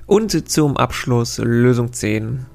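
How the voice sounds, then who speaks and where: A man's voice: mid-range voice, expressive, slow pace, vocal fry, a little mellow. A man hosting a casual quiz podcast, reading out riddles and wandering into chatty, self-correcting asides.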